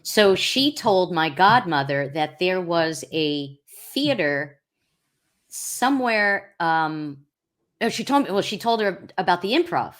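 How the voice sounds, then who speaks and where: A middle-aged woman with a deep, low-pitched voice talks as a guest on a podcast. She rambles loosely, circling back with fillers and false starts. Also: big pitch swings, slow. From Long Island, New York. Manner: rambling with many fillers and restarts. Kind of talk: casual interview chat.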